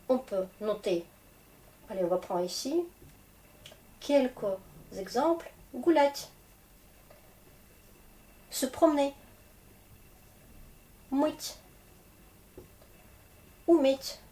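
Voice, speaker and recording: A woman with a Russian accent teaches at an unhurried pace. Her voice sits a little high, and she sounds stuffed up from a cold. She talks patiently and repeats things carefully for learners. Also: warm tone. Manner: slow, patient, repeats and spells out endings. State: has a cold. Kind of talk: slow, friendly language lesson.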